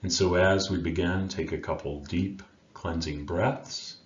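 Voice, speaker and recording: A man leading a guided meditation as a coach, speaking in a gentle, soothing manner with long unhurried pauses. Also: deep voice, fairly even intonation. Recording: roomy, dull sound with faint background noise.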